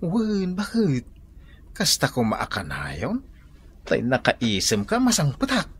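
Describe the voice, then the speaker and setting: A man playing a blunt, bossy older father in an Ilocano comedy radio drama, with a husky voice and an Ilocano accent. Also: a little high, huge pitch swings, slow, full character voice. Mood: surprised, teasing.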